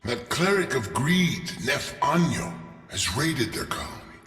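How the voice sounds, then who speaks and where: An actor playing a shrewd, courteous merchant leader in a video game, formal and persuasive, with deliberate pauses. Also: male voice, medium pitch, big pitch swings, a bit bright. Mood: businesslike concern. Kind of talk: video game briefing acting. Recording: echoey hall, distant, faint music.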